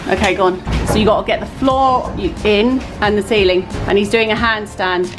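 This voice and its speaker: A woman's voice: medium pitch, steady tone, moderate pace, over music, rough recording. A woman, chatting expressively and casually as a family vlog host. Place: out and about in busy public venues and outdoors. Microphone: handheld vlog camera at arm's length.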